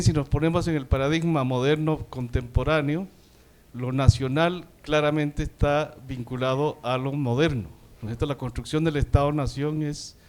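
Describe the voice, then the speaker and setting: A man from Costa Rica with a Costa Rican Spanish accent, speaking at a symposium, giving a measured, academic comment with fillers like "digamos". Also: medium pitch, darkish tone. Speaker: symposium participant asking a question.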